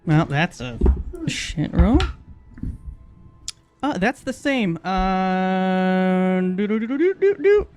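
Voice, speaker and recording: A player in a tabletop game talks casually and a little haltingly, breaking off and starting again. Music plays clearly behind the voice. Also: medium pitch, very animated, a little mellow. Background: background music.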